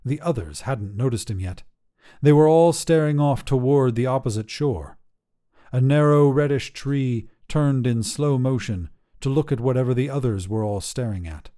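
A man narrating a scripted short story as a podcast host, in an expressive storytelling manner. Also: medium pitch, normal speed.

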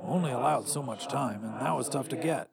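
A man voicing a burnt, undead older version of a story's narrator, blunt and wry, with a slightly raspy voice. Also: mid-range voice, fairly quick, crowd noise, natural voice. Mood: wry, resigned. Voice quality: a bit raspy. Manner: blunt, colloquial, nagging. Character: burnt undead future self, urgent, wry, weary visitor.

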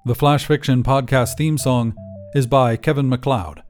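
A man's voice: mid-range voice, fairly even intonation, normal speed, darkish tone, faint music behind. A man reading scripted credits as a podcast's narrator and producer.

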